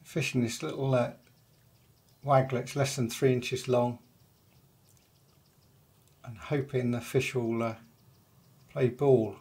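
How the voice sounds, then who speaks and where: An older man calmly narrating a day's fishing in a voice-over, matter-of-fact and unhurried, with frequent pauses. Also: mid-range voice. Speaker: angler vlogger.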